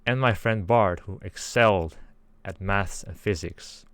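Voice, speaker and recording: A young Dutch man speaks in a lowish voice with a Dutch accent. He talks earnestly and carefully, hedging his views, as he hosts his own channel. The recording is close and dry. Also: a little slow, a bit bright, smooth, dry recording. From Netherlands. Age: 20s. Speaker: channel host. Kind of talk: scripted monologue.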